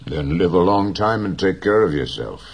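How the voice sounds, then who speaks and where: A man playing a gentle, proud old fisherman. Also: sits low, animated, husky, full character voice. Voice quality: slow, plain, dignified speech.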